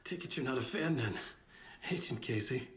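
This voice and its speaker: An actor playing a haunted, weary novelist in a video game, speaking in a halting, earnest, troubled way. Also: male voice, mid-range voice, animated, a little hiss or hum, close to an everyday voice. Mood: groggy, weary. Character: haunted, weary male novelist trapped in nightmare. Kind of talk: cinematic video game acting.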